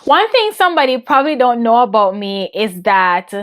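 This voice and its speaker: Upbeat Jamaican woman coach, fairly high voice. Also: sing-song, normal speed. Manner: earnest, motivational, laughs often. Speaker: interviewee, coach for women. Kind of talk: answering interview questions.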